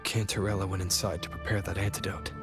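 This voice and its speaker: An actor playing a serious, purposeful adventurer in a video game, speaking gravely and matter-of-factly. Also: male voice, low-pitched, flat delivery, fast, natural voice. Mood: serious, focused. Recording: game music clearly behind the voice.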